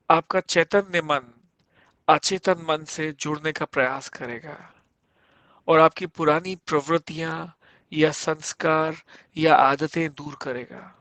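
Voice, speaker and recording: Slow-paced male yoga nidra guide. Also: a little high, steady tone, pushed and bright, dry recording. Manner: measured, instructive, pausing between short phrases. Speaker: yoga instructor.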